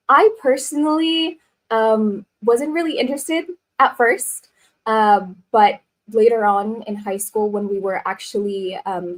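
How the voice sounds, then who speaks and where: A woman's voice: fairly high pitch, low-quality recording. A woman in her twenties, a nursing student, talking casually as an interview guest, chatty and rambling with many fillers. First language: English. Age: 20s.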